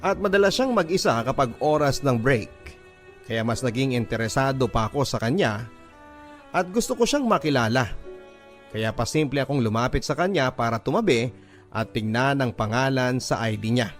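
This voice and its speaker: A man's voice: mid-range voice, lively intonation, normal speed, faint music behind. A man reading a scripted first-person love story as narration for a radio drama.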